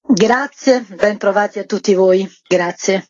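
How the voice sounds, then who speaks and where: A woman's voice: medium pitch, measured pace, a little mellow. A woman answering conversationally as an interview guest, a theatre's artistic director. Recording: tinny phone-like line, roomy echo.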